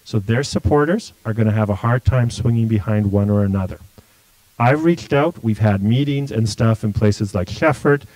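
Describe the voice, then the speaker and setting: A man's voice: lowish voice, moderate pace, darkish tone, dry recording, low-quality recording. Blunt man, dry close sound. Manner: blunt, forthright, restarts often. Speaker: political candidate. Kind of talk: livestream interview answers.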